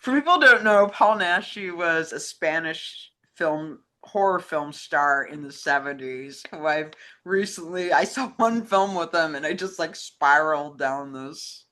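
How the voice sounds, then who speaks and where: A woman's voice: low-pitched, lively intonation, a little slow, few pauses, sharp tone. A woman co-hosting a film talk show, chatting casually and conversationally. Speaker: co-host fielding fan questions.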